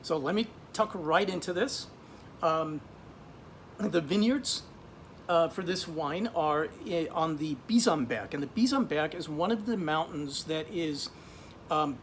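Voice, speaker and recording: A middle-aged man from Austria, casually presenting a wine in a relaxed, chatty way, full of ums and restarts. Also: a little high, measured pace, slight background noise. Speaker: wine shop buyer. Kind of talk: casual wine tasting video.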